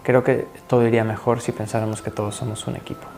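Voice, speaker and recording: A man with a Mexican Spanish accent, a researcher speaking in a measured, articulate way in an interview. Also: mid-range voice, little pitch movement, a little mellow, faint music behind. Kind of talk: interview answers.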